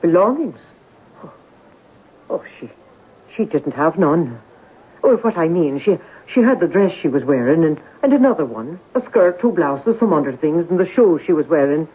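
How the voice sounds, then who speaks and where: An actress playing a flustered, garrulous middle-aged working-class landlady in an old-time radio drama, rambling with exclamations and rough grammar. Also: low voice, big pitch swings, normal speed, full character voice. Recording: muffled vintage radio broadcast.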